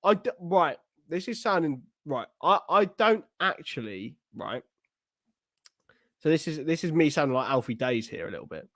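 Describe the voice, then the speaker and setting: A young man from England talks in a lively, swooping voice that sits a little high, playful and quick to argue back. His voice is recorded close and dry, with no room around it. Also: no echo. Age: young adult. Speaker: podcast co-host. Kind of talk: casual banter and football chat.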